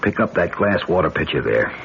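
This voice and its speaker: A man's voice: low voice, expressive, brisk, vocal fry, a bit bright, muffled recording, heavily acted. An actor playing a tough, commanding man of action in an old-time radio drama, giving terse, urgent orders on a noisy recording. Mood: tense, plotting.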